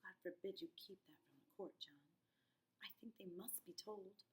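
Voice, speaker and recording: A woman's voice: mid-range voice, normal speed, natural voice. A woman voicing a hesitant, anxious farm wife as she reads a play aloud. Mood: urgent, pleading.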